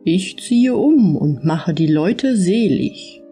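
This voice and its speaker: Lady doing the good lord in a fairy tale, calm, kind, patient, measured. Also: on the low side, soft background music. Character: calm, kindly, all-knowing Lord wandering on earth. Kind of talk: fairy-tale audiobook character.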